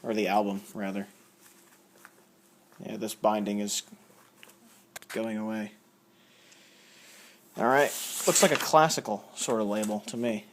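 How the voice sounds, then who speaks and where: A man's voice: fairly low pitch, steady tone, a little slow, pauses often, a little hiss or hum. A man giving casual, unscripted show-and-tell commentary, rambling and thinking aloud as he reads labels out. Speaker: record collector showing a haul.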